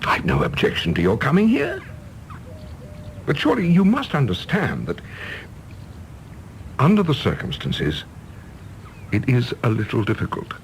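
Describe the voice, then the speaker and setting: A man's voice: medium pitch, wildly swooping pitch, a little slow, long pauses, slight background noise. A man playing a stiff, proud, disapproving English diplomat in a radio drama, speaking formally and pompously in a clipped English accent. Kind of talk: British stage-play radio drama acting.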